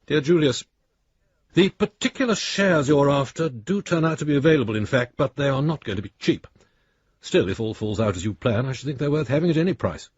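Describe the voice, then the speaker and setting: An actor playing a wry, wistful friend reading out his letter in a radio drama, husky and understated, with gentle irony. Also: medium pitch, animated, fairly quick, no room sound, dull audio. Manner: dry, understated, gently ironic.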